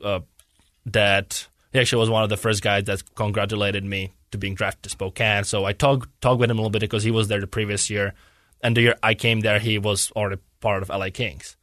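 A man's voice: on the low side, level tone, brisk, fairly bright tone, dry recording, clean studio sound. A young man from Czechia speaking English as an in a casual interview, searching for words and rambling earnestly. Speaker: guest, hockey player.

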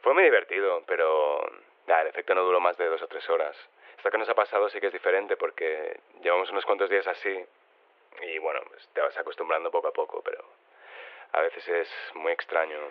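An actor playing a shy body-swapped caller, halting and understated, heard through a telephone filter. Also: male voice, low voice. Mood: hesitant, matter-of-fact. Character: shy woman living inside a man's body. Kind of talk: naturalistic phone interview in audio drama. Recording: narrow, tinny phone line.

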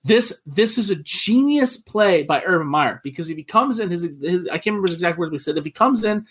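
A man hosting a sports podcast, talking fast and loose, profane and repeating himself as he gets worked up. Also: high-pitched, expressive, a bit bright, breathy edge. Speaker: podcast host. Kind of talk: casual sports chat.

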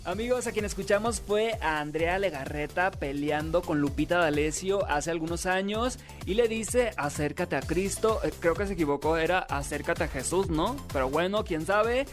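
A Mexican man hosting a radio entertainment show, with a fairly high voice for a man. His talk is chatty and playful, and he rolls back to his catchphrases. Soft music plays under him. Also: normal speed, few pauses. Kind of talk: upbeat gossip radio hosting.

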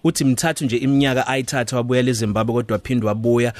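Male radio host, rapid delivery, close dry studio mic. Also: medium pitch, fairly even intonation, darkish tone, no room sound. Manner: brisk, summarizes the guest's answers for listeners. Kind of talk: bilingual radio interview.

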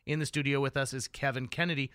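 A man with a bright, punchy voice works as a radio host in a dry studio. He talks in an upbeat, enthusiastic way and leans on key words with emphasis. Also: mid-range voice, fairly even intonation, fast talker, clear, resonant tone, dry recording. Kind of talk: upbeat radio interview chat. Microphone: close studio microphone.

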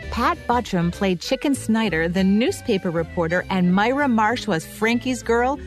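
A woman's voice: medium pitch, animated, normal speed, faint music behind. A woman with an American accent co-hosting a radio show with scripted commentary.

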